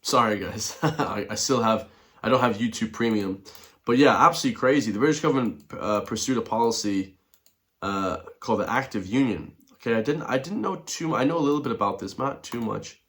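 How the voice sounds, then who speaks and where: An Irish man hosting a reaction video, chatting casually to his viewers in a blunt, excitable way and addressing them as lads and guys. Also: medium pitch, brisk. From Ireland. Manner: blunt, with mild swearing.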